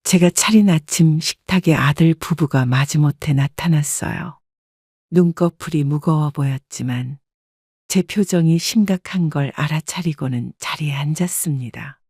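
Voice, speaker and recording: A woman calmly narrating a family story in the first person as a scripted audiobook. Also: sits low, measured pace, slightly rough, no echo, studio quality. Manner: calm, even storytelling.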